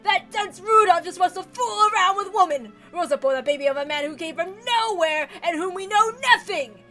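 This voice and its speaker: A woman's voice: very high-pitched, animated, pushed and bright, soft background music, voice pushed up, full character voice. A woman voicing a deranged, tyrannical old patriarch with theatrical, obsessive rants, reading from a visual novel. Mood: contemptuous raging. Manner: theatrical howling rants, drawn-out pleading.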